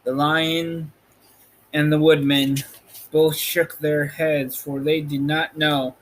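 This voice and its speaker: A man's voice: on the high side, level tone, takes it slowly, a bit bright, low-quality recording. A man reading a children's storybook aloud as scripted narration. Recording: light background noise.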